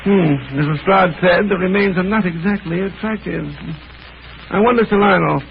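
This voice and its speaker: An actor playing a brisk, self-assured gentleman detective in a 1930s radio drama, clipped and given to repeating short phrases. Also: sits high, gravelly. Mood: calm, businesslike. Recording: muffled, hissy old 1930s radio recording.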